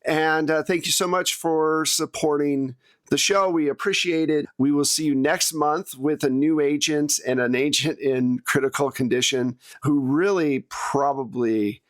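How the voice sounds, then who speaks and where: A man's voice: a little high, fairly even intonation, a little slow. Conversational man running a tabletop game. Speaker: game master and show host. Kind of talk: conversational game-mastering on an actual-play podcast.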